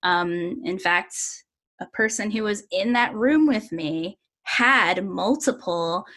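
Bright-voiced woman speaking bluntly. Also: medium pitch, slow pace. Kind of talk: candid conversational opinion.

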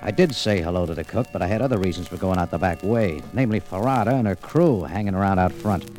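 An actor playing a tough, wry American cafe owner and amateur sleuth in a 1940s radio detective drama, speaking in a clipped, hard-boiled way. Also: male voice, deep voice, quick pace, natural voice. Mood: tense, narrating. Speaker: lead actor and narrator. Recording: old radio recording with music.

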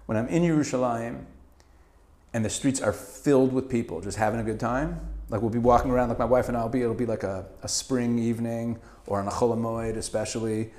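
A middle-aged man from Canada answers interview questions in a loose, animated way, his voice sitting at an ordinary pitch. He often restarts and repeats words before landing a thought. He laughs easily as he talks. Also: brisk. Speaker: interview guest. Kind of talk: casual conversational interview.

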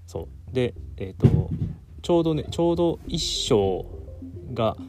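A man's voice: medium pitch, expressive, measured pace, noisy background. A man hosting a casual, rambling solo talk in a giggly, self-amused manner. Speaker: host of a solo talk show. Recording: music playing behind the voice. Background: background music.

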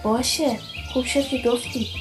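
A woman's voice: sits high, fairly even intonation, over music, natural voice. A woman playing a brave, clever little girl in a children's radio play, earnest and childlike. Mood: polite, crafty.

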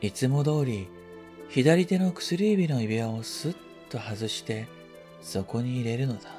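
A man reading a first-person literary story as scripted narration, in a quiet, reflective, measured manner. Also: medium pitch, soft background music. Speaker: story reader.

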